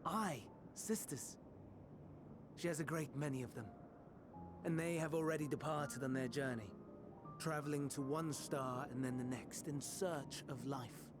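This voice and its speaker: A troubled, idealistic scholar in a fantasy game, played by a male actor, with a fairly high male voice. He speaks in measured, old-fashioned, formal phrases, with quiet torment under the courtesy. Prominent music plays behind him. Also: fairly even intonation, natural voice. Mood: calm, courteous. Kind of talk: dramatic video game voice acting.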